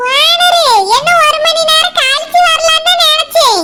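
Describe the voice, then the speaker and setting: A woman's voice: very high voice, fairly even intonation, unhurried, heavy background noise. A woman voicing an eager, helpful fish-seller aunty in a comedy cartoon, with a Kanyakumari Tamil accent. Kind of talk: comic cartoon dialogue.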